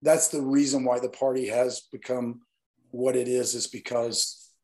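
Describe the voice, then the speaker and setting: A hoarse man from Northeast Alabama, a guest talking earnestly and at length in an interview. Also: medium pitch, fairly even intonation, measured pace, a little mellow. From Northeast Alabama, US South. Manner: earnest, rambling explanations. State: hoarse, partly lost voice. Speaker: guest, nightlife event promoter. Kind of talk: casual interview talk.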